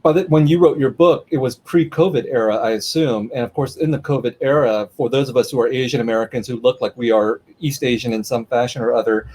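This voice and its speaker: A man's voice: medium pitch, quick pace, hardly any pauses, a little mellow. A man moderating a livestreamed author conversation by video call, talking thoughtfully with frequent restarts and repeated words.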